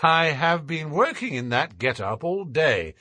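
A man voicing a calm, self-assured detective in a measured, dry manner. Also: fairly high pitch, no echo, pitched above the performer's own voice, full character voice. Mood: cool.

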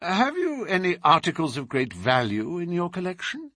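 A man's voice: sits high, wildly swooping pitch, moderate pace, bright, forceful tone, dry recording, studio quality, voice pushed up, heavily acted. A man voicing a cool adult male detective who asks precise questions. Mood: probing. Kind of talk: audiobook character reading.

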